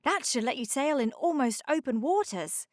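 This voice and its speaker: A cheerful female helicopter from a children's storybook, played by a woman reading aloud. She reports in brisk, crisp radio-call phrases, a little higher than plain narration, with a close, dry sound. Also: expressive, no room sound. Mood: upbeat, businesslike.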